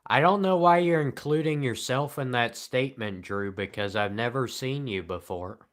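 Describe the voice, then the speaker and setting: A man streaming live and answering the chat in a flat, deadpan, sarcastic way, with long pauses between remarks. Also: mid-range voice.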